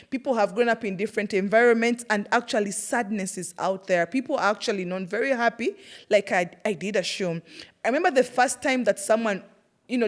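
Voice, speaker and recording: A young Ugandan woman with a thick Ugandan English accent, hosting a personal reflective monologue and telling stories with laughter and plenty of fillers. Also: on the high side. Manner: animated storytelling with laughter and fillers. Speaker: host.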